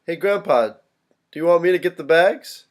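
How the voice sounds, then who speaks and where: A man's voice: on the high side, moderate pace, lightly acted. A man playing an easygoing, wry young adult son in a staged play reading, talking casually.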